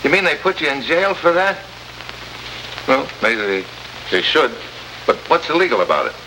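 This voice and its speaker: An actor playing a tough, sardonic American special agent in a 1950s radio drama, with a wry, clipped delivery. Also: male voice, a little high, very animated, moderate pace. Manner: hard-boiled, wry, clipped. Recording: hissy, noisy old radio recording.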